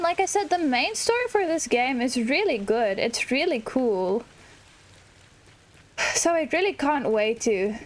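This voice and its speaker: Female let's play host: higher-pitched, unhurried, casual and chatty, reacting aloud. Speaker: gaming video host.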